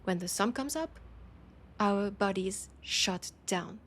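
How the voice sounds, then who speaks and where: A woman with a French accent playing a proud, worried vampire of a supernatural council in an intimate audio roleplay, speaking in an earnest, halting way. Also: medium pitch, animated, a bit bright. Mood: grave, troubled.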